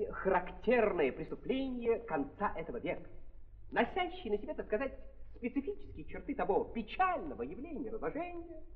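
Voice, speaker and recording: An actor playing a smug, dim-witted prosecutor, orating pompously and haltingly in a theatrical 1930s radio drama style. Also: male voice, very high voice, exaggerated voice. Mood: pompous, declaiming. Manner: pompous, halting, repeats filler phrases. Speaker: prosecutor in a courtroom. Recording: dull old 1930s recording.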